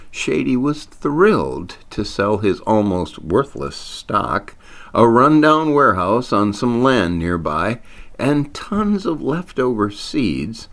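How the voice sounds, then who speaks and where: A man reading a scripted story aloud as its narrator, with theatrical emphasis on wordplay and punchlines. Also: mid-range voice. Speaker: author reading own short story.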